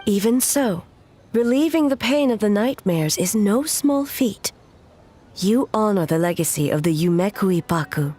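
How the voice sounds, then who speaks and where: A woman voicing an ageless, reserved female ruler in a video game, speaking in a formal, measured, stately way. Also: mid-range voice, animated, mellow, a little hiss or hum, lightly acted. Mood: gracious formality.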